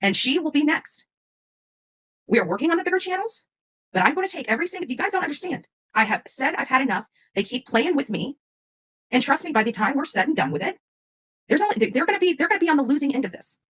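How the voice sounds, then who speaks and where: A woman's voice: high voice, very animated, quick pace, a bit bright, a little hiss or hum, poor audio. A woman who runs a true crime channel, venting unscripted to her viewers in a combative, defiant way and often repeating herself. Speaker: true crime creator and child advocate.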